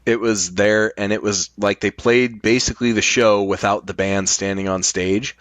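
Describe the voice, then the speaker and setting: Middle aged american guy, lowish voice, blunt podcast talk, dry close sound. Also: fairly even intonation, normal speed, fairly bright tone, dry recording. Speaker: podcast guest. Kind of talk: casual podcast chat.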